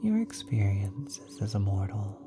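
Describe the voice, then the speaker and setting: A woman voicing a kind, doting celestial parent in an ASMR roleplay, soft and breathy with gentle, tender cooing. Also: rumbling low, big pitch swings, measured pace, music in the background, exaggerated voice. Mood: soothing. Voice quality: soft, breathy, partly whispered. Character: kind, doting ethereal cosmic being, parent of a mortal.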